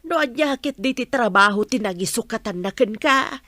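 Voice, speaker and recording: A woman playing an exasperated village wife in a radio drama, complaining and sharp-tongued. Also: fairly high pitch, animated, moderate pace, no room sound. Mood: scolding, insistent.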